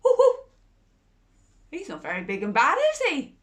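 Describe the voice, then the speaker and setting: A woman hosting a bedtime story show for children, reading aloud in a playful, animated way and laughing often. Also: fairly high pitch, normal speed. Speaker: storyteller host.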